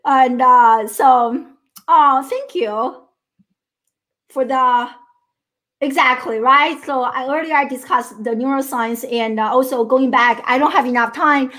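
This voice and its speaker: Earnest high-voiced woman with a Chinese accent. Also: slow, a bit bright. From China. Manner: earnest, many restarts and "right?" tags. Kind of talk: motivational talk.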